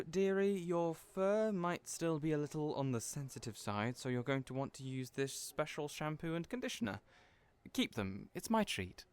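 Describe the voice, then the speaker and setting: A man's voice: on the high side, smooth, close to an everyday voice. A man playing a fussy, doting male hairdresser who fusses over people and calls them dearie. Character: chatty flamboyant male hairdresser friend. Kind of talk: audio roleplay acting.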